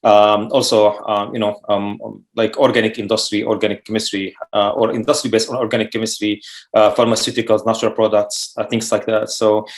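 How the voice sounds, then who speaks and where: A man in his late thirties from Syria, answering interview questions as a chemist guest, with many pauses and fillers. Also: fairly low pitch, little pitch movement. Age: 30s.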